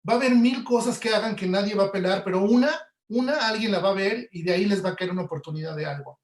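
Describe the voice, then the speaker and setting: Male, Mexican accent, high-pitched voice. Conversational webinar guest, audio professional, recorded with noticeable room echo. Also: quick pace. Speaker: guest audio producer and Foley artist. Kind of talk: conversational webinar interview answers. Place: indoor room, online webinar call. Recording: roomy, echoey sound.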